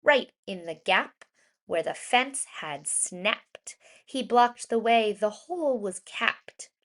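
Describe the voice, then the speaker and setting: A woman reads a children's rhyming story in a fairly high voice. Her pace is very slow and deliberate, and she shapes each phrase dramatically, stressing important words as a storyteller for young listeners would. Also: animated, fairly bright tone. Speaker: children's storybook reader, educator.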